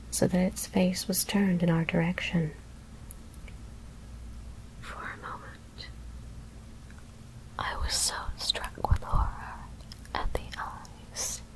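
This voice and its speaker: A woman whispers a horror story in a hushed, deliberate ASMR reading. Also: fairly low pitch, gravelly, rough recording. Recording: faint background noise.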